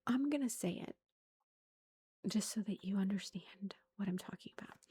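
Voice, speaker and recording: A woman in her thirties, a mother, telling a story in a soft whisper, chatty and amused. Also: mid-range voice, slightly rough.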